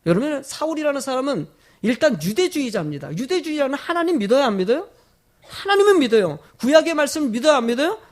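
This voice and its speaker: A man's voice: extremely high pitch, very animated. A man preaching a sermon as a pastor, emphatic, putting rhetorical questions to his congregation, his throat sore from a cold. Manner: emphatic, with drawn-out stressed phrases.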